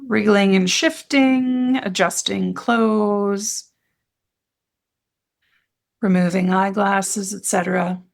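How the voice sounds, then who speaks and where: A woman leading a guided meditation as an instructor, speaking in gentle, soothing phrases with pauses between them. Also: mid-range voice, steady tone, vocal fry.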